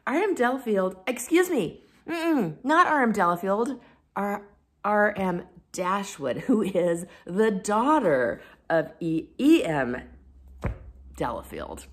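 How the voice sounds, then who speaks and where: A woman's voice: mid-range voice, big pitch swings, slow. A woman talking casually as a book reviewer, with an enthusiastic, effusive and informal manner. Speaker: book reviewer and video host. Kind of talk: casual chat to camera about books.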